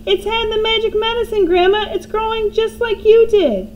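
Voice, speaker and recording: A woman voicing an excited young boy who shouts eagerly. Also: extremely high pitch, normal speed, a little hiss or hum, pitched above the performer's own voice.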